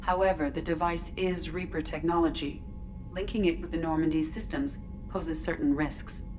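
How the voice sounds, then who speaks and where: Composed, low-voiced female ship computer acted. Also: normal speed, lightly acted. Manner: even, precise, formal. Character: composed, dutiful female ship artificial intelligence. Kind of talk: video game character acting. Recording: game music behind the voice.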